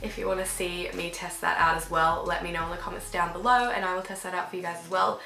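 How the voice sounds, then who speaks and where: A young woman, sick and slightly croaky and nasal, chatting casually through a makeup tutorial while applying products. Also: fairly low pitch, steady tone, fast talker, pushed and bright, echoey room. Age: young adult. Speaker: beauty vlogger. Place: dim bedroom with fairy lights, indoors.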